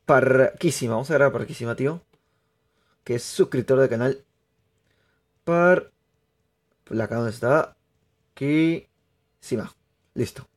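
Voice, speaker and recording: A young man from Peru, chatting excitably to viewers through live gameplay commentary. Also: medium pitch, a little slow, pauses often. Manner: chatty, excitable, laughs often, addresses viewers. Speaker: streamer.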